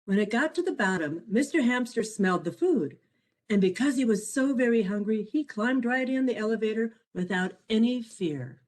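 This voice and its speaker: Expressive woman reading a children's storybook. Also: on the high side. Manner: expressive, measured read-aloud delivery. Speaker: author reading own children's picture book. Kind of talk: scripted storybook narration for children.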